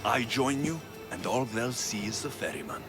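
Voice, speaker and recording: Actor as earnest warrior hero, dramatic swings, music underneath. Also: male voice, medium pitch, lively intonation, moderate pace, lightly acted. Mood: confident, determined. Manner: resolute, earnest, dramatic delivery. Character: battle-hardened Greek mercenary hero, earnest, defiant older brother. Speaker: video game protagonist. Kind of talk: cinematic video game acting.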